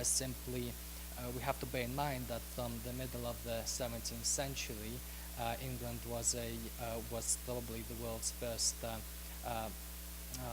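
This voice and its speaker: Halting male lecturer, flat voice, hum behind. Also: medium pitch. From Russia. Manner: halting, many ums, uhs and restarts. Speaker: conference speaker. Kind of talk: conference talk with slides. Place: lecture hall at a conference.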